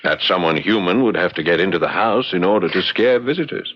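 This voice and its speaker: An actor playing a calm, logical colonial gentleman sleuth in a radio drama, measured and explanatory. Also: low voice. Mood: reasoning. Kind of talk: old-time radio drama acting. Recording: dull vintage radio recording.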